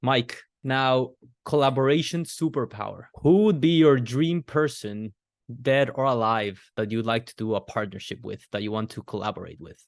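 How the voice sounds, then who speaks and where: A man's voice: mid-range voice, normal speed, gravelly, no echo. Earnest male podcast host, dry sound. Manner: earnest, opinionated. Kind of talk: casual podcast conversation.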